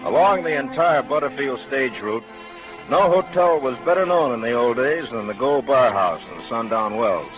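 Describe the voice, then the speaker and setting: A man narrating a 1940s radio Western in a measured, storytelling manner. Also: mid-range voice, expressive, close to an everyday voice. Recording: tinny old radio recording, music behind.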